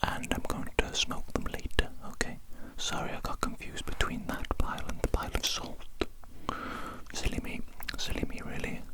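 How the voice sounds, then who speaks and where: An ASMR performer playing a chaotic evil doctor in a breathy whisper, fussing dotingly and repeating words and phrases. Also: moderate pace.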